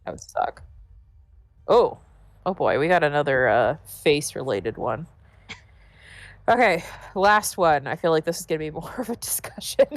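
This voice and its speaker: A woman's voice: low voice, lively intonation, normal speed, pauses often, no room sound. Giggly, stuffy-nosed woman streamer. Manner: giggly, chatty, trips over restarts. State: congested, stuffy nose. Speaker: let's play streamer. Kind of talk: casual gaming chat.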